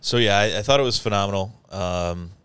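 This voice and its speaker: A man's voice: lowish voice, fairly quick, a bit bright, clean tone, no room sound. A man in casual conversation about a movie, swearing freely and saying "like" a lot. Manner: loose, swears freely, uses "like" often. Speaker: podcast co-host.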